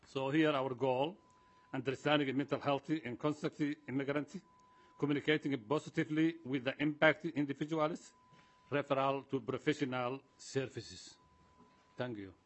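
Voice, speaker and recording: A man giving prepared public testimony in a formal, halting way. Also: medium pitch, steady tone. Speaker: community association representative giving testimony.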